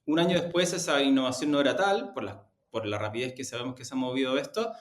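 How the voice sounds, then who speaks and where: A man's voice: medium pitch, quick pace, slight background noise. A man giving conversational interview answers, explaining things in a hesitant way as he searches for words. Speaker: media executive, interview guest. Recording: roomy, echoey sound.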